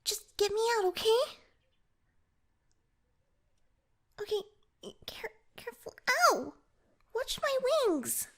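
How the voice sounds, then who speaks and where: Fantasy ASMR roleplay role: little pixie played by a woman, extremely high voice, flustered and stammering, proud, wary of humans. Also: big pitch swings, measured pace, creaky, a bit bright, exaggerated voice. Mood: panicked.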